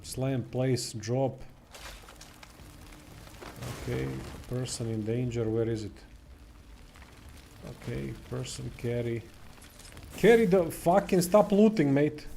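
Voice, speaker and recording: Croatian-accented streamer in his thirties, dark relaxed tone, loose swearing banter over gameplay. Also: medium pitch, slow pace, creaky, long pauses, slight background noise. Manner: blunt, swears freely, rambling. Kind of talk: casual gameplay chat.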